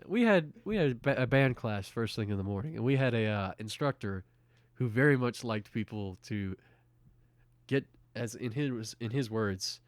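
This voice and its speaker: A young adult man co-hosting a podcast, bantering casually in an animated, teasing way. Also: medium pitch, normal speed, no room sound, clean studio sound. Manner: animated, teasing, acts out quotes. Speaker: podcast co-host.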